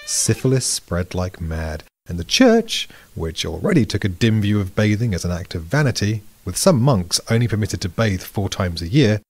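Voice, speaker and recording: A low, slightly husky British man reads a scripted podcast explainer. His delivery is measured and dryly witty, with a lively rise and fall through each line.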